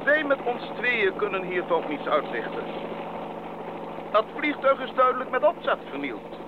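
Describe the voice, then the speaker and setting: An actor playing a decisive, concerned mission doctor in a Dutch radio drama. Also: male voice, sits high, very animated, normal speed. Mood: urgent, grave. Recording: dull recording with clear background noise.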